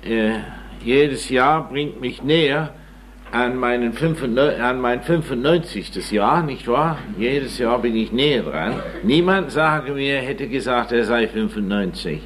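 Older man, 70s, informal lecturer, very slow, rambling and self-deprecating, faint hum in the recording. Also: mid-range voice, animated, fairly bright tone. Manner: slow, digressive and self-mocking, with frequent tag questions.

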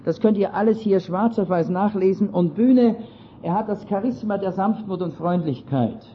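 A man's voice: high-pitched, normal speed, a little hiss or hum. A man with an Austrian accent giving an earnest, emphatic lecture-style sermon. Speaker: speaker. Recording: dull lo-fi recording.

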